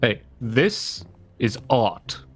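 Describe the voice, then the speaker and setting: Man voicing deadpan doubter, unhurried, hesitant, middle pitch, Minecraft roleplay. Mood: dry. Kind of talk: improvised Minecraft roleplay acting.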